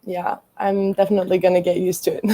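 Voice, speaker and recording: A woman's voice: fairly low pitch, little pitch movement, fairly quick, warm tone, husky, no room sound. Hesitant young woman from Greece. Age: young adult. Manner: hesitant, many ums, laughs often. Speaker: college volleyball player. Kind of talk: casual interview answers.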